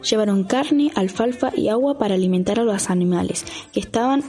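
Mellow young woman hosting radio. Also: mid-range voice, brisk. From Argentina. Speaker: radio show host. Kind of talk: informal radio hosting and news reading.

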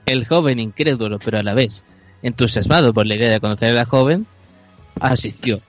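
A man with a Chilean accent narrating an improvised comic radio play in halting, mock-solemn phrases. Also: mid-range voice, soft background music. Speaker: narrator on a comedy improv show.

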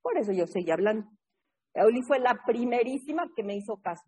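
A woman from New England with a fairly high voice leads an online recovery group. She tells her stories in a lively, chatty way and keeps checking in with the group. She is heard over a video call. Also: brisk, husky. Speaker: recovery workshop leader and sponsor. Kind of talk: casual storytelling talk in an online support meeting.

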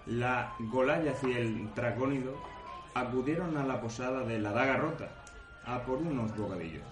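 A droll, mock-solemn man acting as narrator of a comic fantasy radio play. His mid-pitched voice sits in a roomy echo with music clearly behind it. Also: normal speed, close to an everyday voice. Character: tongue-in-cheek male narrator of a comic fantasy adventure. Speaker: narrator of an audio drama. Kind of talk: scripted storybook-like fantasy narration.

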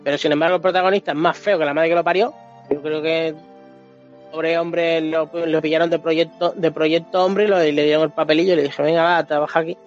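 A man with a Spain accent and a somewhat high-pitched voice talks as a podcast panelist. His speech is casual, animated and full of swearing and slang. The audio is a bit muffled, with music underneath. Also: brisk. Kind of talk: casual chat about video games. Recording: dull lo-fi audio, music behind.

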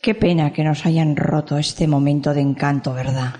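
A woman's voice: low-pitched, fairly even intonation, normal speed, dark tone, lightly acted. A woman with a Spanish accent playing a hurt, reproachful businesswoman in an amateur radio soap opera. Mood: suspicious, low. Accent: Spain. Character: hurt, reproachful businesswoman who feels betrayed by lover.

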